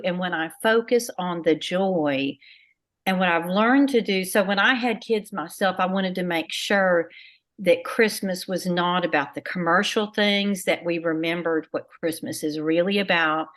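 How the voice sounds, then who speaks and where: A woman talks in a candid, reflective way, pausing often with fillers. Her voice sits on the lower side for a woman. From United States. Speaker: guest sharing a testimony. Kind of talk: casual personal talk.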